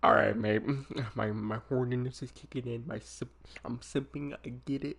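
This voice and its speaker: A man's voice: mid-range voice, steady tone, normal speed, vocal fry, fairly bright tone, husky. A young man streaming a game late at night, joking playfully and bantering with the characters on screen. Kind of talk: casual live-stream commentary.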